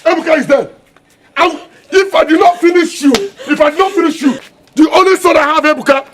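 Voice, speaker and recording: A man's voice: extremely high pitch, fairly quick, crowd noise. A man playing an emotional, volatile husband and father with a Nigerian accent, repeating himself theatrically in a film melodrama. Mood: shocked grief. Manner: repetitive, theatrical.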